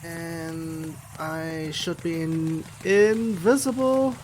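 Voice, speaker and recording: A game streamer giving casual, halting commentary, muttering along while playing. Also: mid-range voice, fairly even intonation, noisy background. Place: voice over game audio with music behind.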